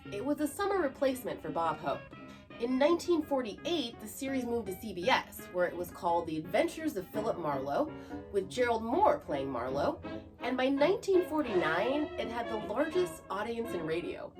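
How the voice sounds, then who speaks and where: A woman's voice: fairly high pitch, sing-song, moderate pace. A woman hosting a podcast, reading scripted narration with chatty asides. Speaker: podcast host. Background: music.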